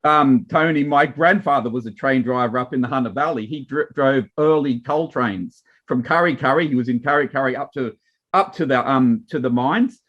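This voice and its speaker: A man's voice: medium pitch, normal speed, highs cut off. An Australian man, a wine and marketing guest, chatting casually and rambling with many restarts and fillers. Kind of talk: casual panel chat.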